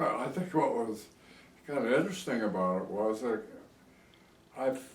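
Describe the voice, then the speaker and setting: A man in his eighties with an American accent, reminiscing in a halting, rambling way in a casual interview. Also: medium pitch, animated. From United States. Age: 80s. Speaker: oral history interviewee. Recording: echoey room, distant microphone.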